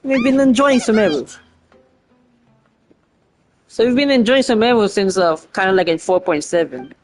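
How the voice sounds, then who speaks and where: A woman streaming a game with casual, informal, reactive commentary and an excitable manner. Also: mid-range voice, moderate pace, faint music behind.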